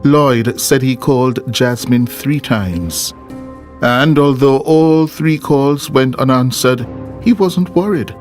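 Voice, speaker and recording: A man's voice: medium pitch, slow pace, faint music behind. A man reading scripted narration for a television news documentary. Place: close-miked voice-over with music behind.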